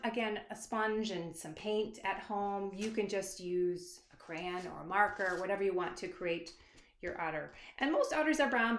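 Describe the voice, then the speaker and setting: A woman giving a casual, encouraging, unscripted step-by-step craft tutorial for children. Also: medium pitch. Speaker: children's author leading a craft demonstration. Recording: roomy echo, faint background noise.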